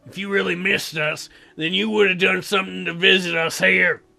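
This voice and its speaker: A man voicing a stubborn, hurt teenage boy with a strained, gravelly voice, reading visual novel lines. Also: high voice, fairly even intonation, normal speed, bright, forceful tone, soft background music, heavily acted. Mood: hurt, accusing.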